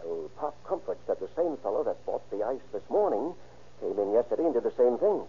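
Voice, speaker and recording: Casting: fussing, earnest radio-drama manager, husky with lively pitch, dull vintage sound. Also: male voice, brisk, exaggerated voice. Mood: worried. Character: worried, eager male ice plant manager. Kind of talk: 1930s radio drama acting. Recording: muffled 1930s radio recording.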